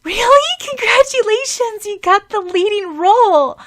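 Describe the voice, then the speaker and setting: A woman's voice: very high-pitched, animated, measured pace, fairly bright tone, pitched above the performer's own voice, heavily acted. Woman voicing a sweet, sincere schoolgirl. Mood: delighted.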